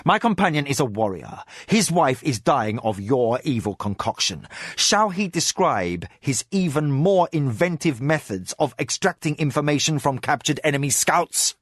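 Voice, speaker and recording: A man voicing a cold, menacing male spy master who speaks low, slow and measured. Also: very animated, dry recording, clean studio sound. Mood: calmly menacing.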